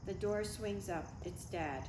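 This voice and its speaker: A woman's voice: mid-range voice, a little slow. A woman reading scripted stage directions as the narrator at a live table read. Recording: echoey live room with clear background noise.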